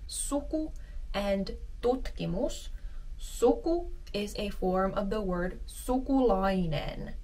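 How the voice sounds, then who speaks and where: A woman hosting her own channel, teaching vocabulary with slow, careful pronunciation of each word. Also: medium pitch, distant mic, poor audio. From Finland and the US. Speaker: language teacher and channel host. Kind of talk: sponsored segment and vocabulary lesson.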